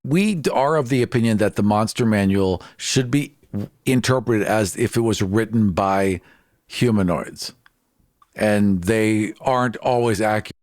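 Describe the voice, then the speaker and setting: A man's voice: fairly low pitch, a little slow, a little mellow, no room sound, studio quality. A man chatting casually as a podcast host in a discussion of tabletop gaming.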